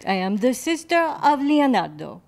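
A woman playing a playful Italian-French sister of a famous painter, speaking halting, broken English in a put-on accent for an improvised comedy interview. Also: on the high side, very animated, creaky, darkish tone. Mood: chatty. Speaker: guest artist.

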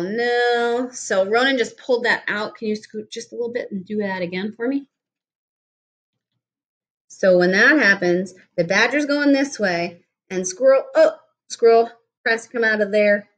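A woman's voice: fairly high pitch, a bit bright. A woman in her thirties reading a picture book aloud to a young child in a home kitchen, explaining things patiently in simple words, recorded on a webcam at the table. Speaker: speech therapist reading to a child. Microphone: laptop or webcam at table distance.

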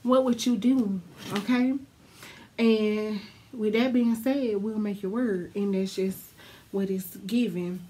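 A young woman talks to her camera in a small dark room, her voice stuffy and congested. She drawls slowly and bluntly, swearing freely as she chats to her viewers. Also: medium pitch, fairly even intonation, a little hiss or hum. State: stuffy, congested from a sinus infection. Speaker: beauty vlogger. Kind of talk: casual wig review to camera.

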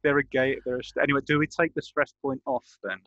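A man's voice: medium pitch, level tone, fast talker, fairly bright tone, no room sound, lo-fi sound. A man chatting casually as a player in an online tabletop game over a group call. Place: indoors at home, on a video call.

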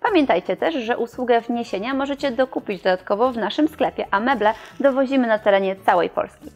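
A young woman presenting and reviewing furniture in a showroom, in a clear, explanatory scripted delivery. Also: fairly high pitch, fairly quick, dull audio.

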